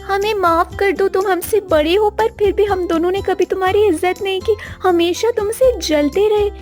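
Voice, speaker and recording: A woman's voice: extremely high pitch, moderate pace, a little mellow. A woman playing a plaintive young village girl, a younger sister in a fairy tale. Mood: remorseful. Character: jealous younger sisters, village girls, now remorseful. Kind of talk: voice acting in a Hindi fairy tale. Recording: music behind the voice.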